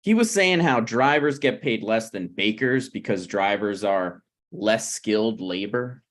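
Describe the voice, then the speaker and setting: A man's voice: on the low side, expressive, unhurried, a bit bright. A man in his thirties at a script table read, playing a needy, prickly struggling screenwriter who talks in a pressing, conversational way. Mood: aggrieved. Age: 30s.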